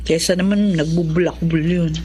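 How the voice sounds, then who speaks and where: A woman playing a protective young adult older sister in a radio drama, with a gentle, reasoning manner. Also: fairly low pitch, steady tone, moderate pace, breathy edge. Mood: calm, pleading. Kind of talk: Tagalog radio drama acting.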